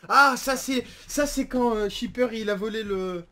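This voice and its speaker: A man hosting gaming videos, chatting casually and jokily in excitable in-game roleplay. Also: very high-pitched, a little slow. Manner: excitable, jokey. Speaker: gaming video host and player.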